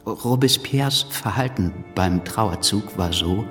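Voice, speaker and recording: An actor playing a shaken revolutionary writer in a radio drama, halting and deliberate, with a stammer and dry, sardonic wit. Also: male voice, lowish voice, animated, fairly bright tone. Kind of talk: radio drama first-person narration. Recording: music behind the voice.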